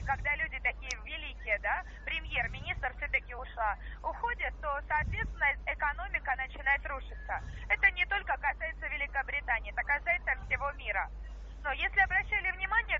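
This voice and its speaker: A woman giving an insistent sales pitch over the phone, peppering the listener with questions. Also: expressive, fairly quick, sharp tone. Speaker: cold-call investment salesperson. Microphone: phone call. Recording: noisy muffled telephone line.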